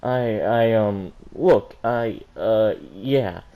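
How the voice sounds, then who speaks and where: A man's voice: on the low side, drawn-out words, narrow, tinny audio. A man voicing a flustered, anxious teenage girl from a story, stammering and blurting.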